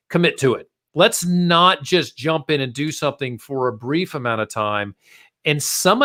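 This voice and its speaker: A man's voice: fairly high pitch, a bit bright. A man hosting a casual marketing podcast, chatting informally and speaking straight to his listeners. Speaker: podcast host.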